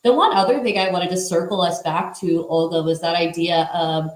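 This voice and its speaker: A woman's voice: lowish voice, fairly even intonation, normal speed. A woman, a former teacher, explaining her ideas fluently on a conference panel and checking in with a frequent "right?". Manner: fluent, explanatory, often adds a questioning "right?". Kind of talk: conversational panel talk. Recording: roomy echo.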